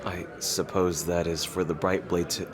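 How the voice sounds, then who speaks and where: A man playing a teasing rival king in an intimate dramatic roleplay, halting and smug yet easily flustered. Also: low-pitched, little pitch movement, noisy background, lightly acted. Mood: polite, flustered. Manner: halting, teasing, with soft chuckles and pauses.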